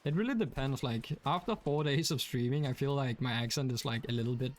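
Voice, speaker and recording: A man's voice: mid-range voice, fairly quick. A young man from Denmark with a Danish accent, chatting casually while streaming a game, rambling with many fillers. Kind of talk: casual gaming stream chat.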